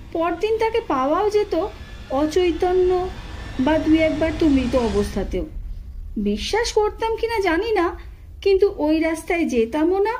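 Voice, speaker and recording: High, animated woman narrating a horror story. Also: slight background noise, low-quality recording. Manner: expressive, dramatic storytelling. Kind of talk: scripted horror story narration in first person. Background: ambient sound effects.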